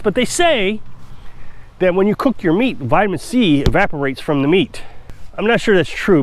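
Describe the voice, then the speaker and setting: A man with a stuffy, congested voice, talking casually and opinionatedly to the camera in a rambling vlog. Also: fairly high pitch. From Missouri. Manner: chatty, rambling, self-assured. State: congested from a cold. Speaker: off-grid homestead vlogger.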